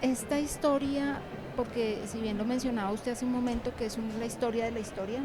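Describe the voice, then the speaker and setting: A middle-aged woman speaks in a fairly high voice. She answers as an interview guest, informal and meandering, like a lecturer telling her experiences. Clear ambient noise of a busy venue sits behind her. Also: fairly even intonation, a little fast. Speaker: university animation lecturer and author, interview guest. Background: ambient noise of a book fair.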